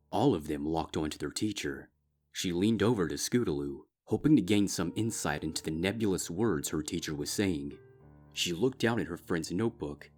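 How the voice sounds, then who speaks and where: Deep-voiced male story narrator. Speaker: fanfic reader. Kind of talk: scripted narration.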